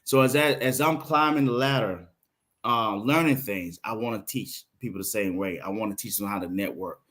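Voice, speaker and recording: A man in his fifties from Florida with a Southern accent, a guest in a casual interview who tells lively stories and acts out quoted voices. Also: on the low side, moderate pace. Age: 50s. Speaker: podcast guest, playwright.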